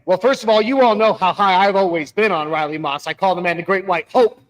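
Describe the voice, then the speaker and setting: A man's voice: high-pitched, brisk. A man co-hosting a casual sports talk show, speaking emphatically and repeating words for stress.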